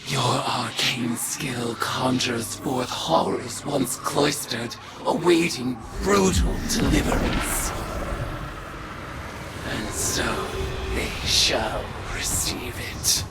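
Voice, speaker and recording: A woman's voice: very deep voice, exaggerated voice. An actress playing a woman turned monstrous god, grim, vengeful and commanding, in solemn incantatory game dialogue. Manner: solemn, incantatory, slow dramatic delivery. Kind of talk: theatrical scripted game dialogue. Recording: loud music behind the voice.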